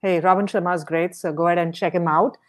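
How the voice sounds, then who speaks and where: A woman's voice: on the low side, steady tone, fast. A woman hosting a podcast, interviewing a guest with upbeat, brief affirmations. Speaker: podcast host.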